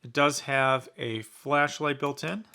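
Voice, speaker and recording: A man's voice: medium pitch, steady tone, slow, bright, forceful tone, clean tone. A man giving casual, conversational commentary as he reviews a product in an unboxing. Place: indoors in a basement.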